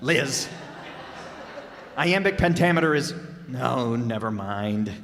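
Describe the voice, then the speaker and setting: A man's voice: mid-range voice, animated, slow, a bit bright. A man playing an exasperated, easily flustered but affable husband in an old-time radio comedy. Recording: echoey hall with faint noise.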